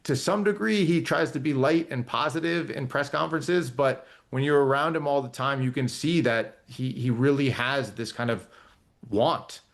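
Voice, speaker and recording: A man's voice: medium pitch, normal speed, gravelly. Male guest reporter, casual, thinks aloud with lots of restarts. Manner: thoughtful, rambling with many restarts. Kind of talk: casual talk show chat.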